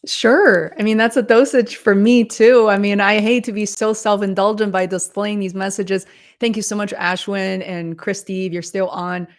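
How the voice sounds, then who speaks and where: An enthusiastic woman hosts a livestream interview over a video call. Her voice sits at a mid pitch. She talks in an effusive, conversational way. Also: steady tone, moderate pace. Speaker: livestream host.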